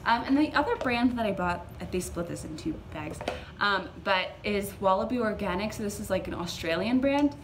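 A young woman in her twenties talks through her groceries in a chatty, upbeat way, dropping plenty of "um"s and "like"s. Her voice sits at an ordinary middle pitch. A clear hum of room noise runs under her, picked up by a camera set close on the table at home. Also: expressive, moderate pace, hardly any pauses. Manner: chatty, upbeat, lots of fillers. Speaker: vlogger. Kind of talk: casual grocery haul chat. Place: small indoor room at home.

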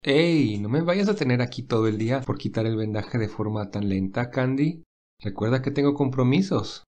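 Man acting kind, gentle young man. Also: medium pitch, animated, normal speed, slight background noise, close to an everyday voice. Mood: teasing. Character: kind young man, teasing, hiding his nerves. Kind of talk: dramatized audio story.

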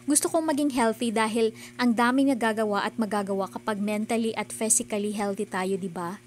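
Mom vlogger doing voice-over, kinda high voice, chatty and upbeat, faint hum behind. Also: female voice, steady tone, brisk. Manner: chatty, earnest and encouraging. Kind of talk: voice-over narration.

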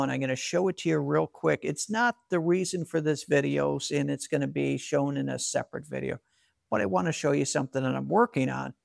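Gravelly man explaining his workshop gear. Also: on the high side, darkish tone, no echo, studio quality. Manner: plainspoken, practical, with frequent fillers and restarts. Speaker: solar equipment channel host. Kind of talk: casual explainer, talking to camera. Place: indoor garage workshop.

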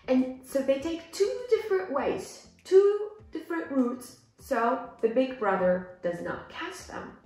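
Young female English teacher, higher-pitched, explanatory story reading for learners, very echoey room with music underneath. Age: young adult. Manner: deliberate, clearly explanatory, gesturing as she teaches. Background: background music.

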